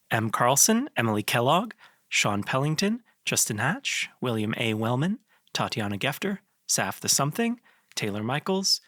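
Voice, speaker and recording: A man's voice: mid-range voice, bright, forceful tone, no room sound, clean studio sound. A man, the creator of a podcast, giving informal announcements and reading out credits.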